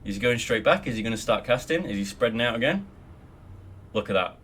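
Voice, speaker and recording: A man's voice: medium pitch, expressive, fairly quick, fairly bright tone. A young Australian man casually talking through a game tutorial at his desk, rambling with lots of ums and the odd swear word. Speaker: guild member teaching raid logs. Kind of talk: casual screen-recorded tutorial.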